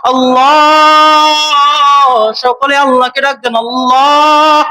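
A man with a Bangladeshi accent leading a prayer as an imam, pleading tearfully in an emotional, half-chanted supplication with drawn-out calls. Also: very high-pitched, flat delivery, pushed and bright, soft background music.

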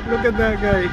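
High-voiced man filming a street outdoors. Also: low-quality recording. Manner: excited, laughing, shouting out to passing drivers. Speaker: vlogger filming a convoy. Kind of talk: casual running commentary. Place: outdoors behind a fence by a street. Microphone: phone camera held by the filmer.

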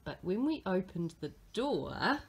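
A woman's voice: on the low side, very animated, slow, vocal fry, a little hiss or hum. A woman narrating a children's storybook expressively, like a teacher reading aloud to young pupils.

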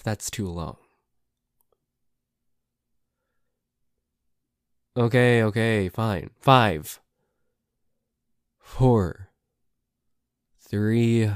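A man's voice: mid-range voice, dry recording, clean studio sound. A man playing a nervous, suspicious, grumpy boyfriend in an ASMR roleplay, hesitant and stammering, with some swearing. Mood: wary, flustered. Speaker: amateur voice actor.

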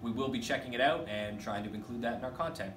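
A man in his thirties casually demonstrating a welding tool in a workshop, chatty and relaxed. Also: medium pitch, quick pace, a little hiss or hum. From Canada. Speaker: product demonstrator for a welding company. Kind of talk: casual product demo. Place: workshop with welding equipment, indoors. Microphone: camera mic from a few feet away. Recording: roomy workshop echo from a distance.

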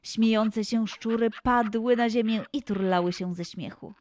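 A woman narrating a children's story slowly and expressively. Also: on the high side, no echo, studio quality. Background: soft background music.

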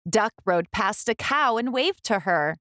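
Slow, animated woman reading a children's story. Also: medium pitch, dry recording, clean studio sound. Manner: deliberate, expressive storytelling. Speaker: children's book reader and host. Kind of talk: read-aloud storytelling for young children.

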